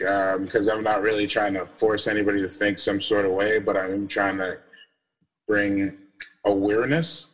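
A young man with a deep voice giving a casual, halting personal talk on a video call, full of fillers and restarts. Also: a bit bright, low-quality recording. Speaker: event speaker.

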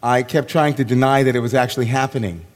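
A man, a rabbi, giving a sermon in a slow, reflective way with long pauses and a voice gone hoarse. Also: medium pitch, fairly even intonation. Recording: long hall reverb.

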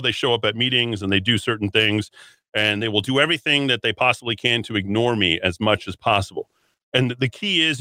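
A man's voice: medium pitch, bright, forceful tone, dry recording. A man hosting a solo talk radio show, combative and rambling, with a sniffly runny nose. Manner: combative, rambling, repeats words and restarts. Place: radio studio.

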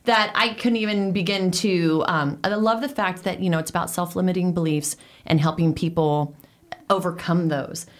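A woman's voice: lowish voice, fairly even intonation, normal speed. A woman hosting a casual interview show, upbeat and affirming, often repeating her approval. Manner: enthusiastic, affirming, lots of "I love it". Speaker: podcast host.

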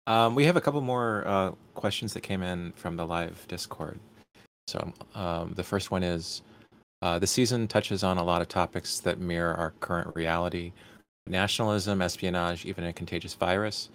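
A deep-voiced man moderates a question and answer session. He is casual, reading out questions over some faint background noise and ambience. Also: normal speed, fairly bright tone.

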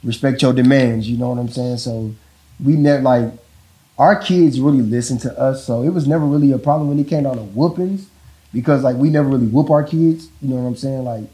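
A man with a deep voice talking casually about parenting, rambling and often checking in with the listener. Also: fairly quick, low-quality recording. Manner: relaxed, rambling, repeats "you know what I'm saying". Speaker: father in a couple interview.

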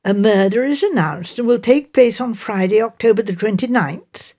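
A woman voicing an eager, admiring English wife of a colonel, coaxing and excited. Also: fairly high pitch, normal speed, lightly acted.

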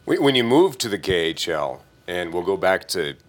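A man's voice: low voice, sing-song, moderate pace. An American man co-hosting a podcast, playful and joking as he asks questions. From United States. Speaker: podcast co-host. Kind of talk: casual podcast interview.

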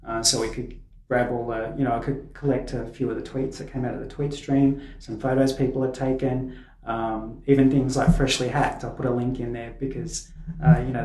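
A man's voice: mid-range voice, darkish tone. A man chatting casually and anecdotally on a podcast, rambling and restarting his sentences. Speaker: podcast speaker, event organiser. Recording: echoey room.

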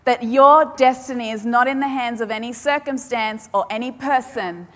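Woman, preacher, native Polish speaker, emphatic, dramatic pauses, fairly high voice, long reverb of a large hall. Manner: emphatic, repeats key phrases with dramatic pauses. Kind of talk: sermon.

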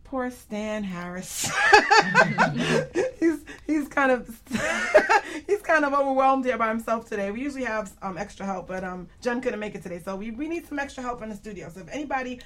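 Female radio host, fairly high voice, chatty and giggly, casual talk-show delivery. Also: lively intonation, moderate pace, fairly bright tone, slight background noise. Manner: chatty, giggly, laughs often.

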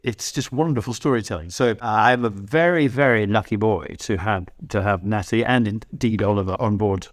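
A man in his sixties talking reflectively in a casual interview as a writer, halting and repeating words as he thinks. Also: on the low side, dry recording, studio quality. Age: 60s. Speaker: writer and voice actor. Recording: music behind the voice. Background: plucked guitar music.

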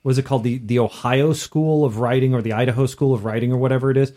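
A man's voice: medium pitch, fairly even intonation, fast talker, mellow. A man co-hosting a podcast, chatting casually and giving opinions in a blunt, emphatic, informal manner. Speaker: podcast co-host.

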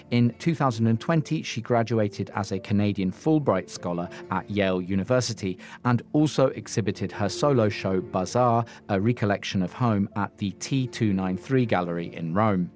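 A man hosting a podcast, reading scripted narration in a measured way, then interviewing a guest. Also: fairly low pitch, a little mellow, soft background music. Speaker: podcast host.

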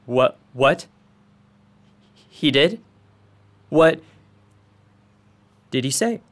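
A man's voice: on the high side, lively intonation, extremely slow. A young man acting a guarded son in a radio drama. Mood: anxious.

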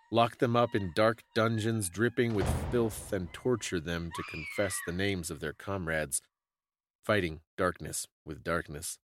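Low-voiced man reading a dramatic tale. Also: a little hiss or hum. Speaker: podcast host giving a dramatic reading. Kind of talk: dramatic scripted storytelling narration.

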